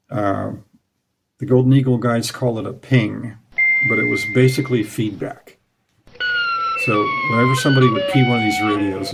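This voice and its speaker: A middle-aged man giving a casual, knowledgeable talk over slides from a small indoor room, with frequent fillers. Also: medium pitch. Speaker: radio hobby host.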